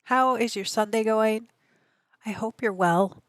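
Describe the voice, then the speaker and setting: A woman streamer with a slightly raspy voice, chatting casually and answering her viewers. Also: a little high, a little slow, no echo, studio quality. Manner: rambling, chatty, answers chat messages aloud. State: allergies. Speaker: game streamer.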